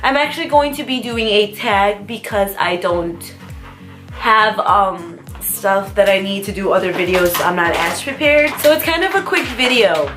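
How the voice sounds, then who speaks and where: A woman's voice: mid-range voice, lively intonation, normal speed, over music. A young American woman chatting casually through a vlog tag video, rambling and giggling as she answers questions. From United States. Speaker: vlogger.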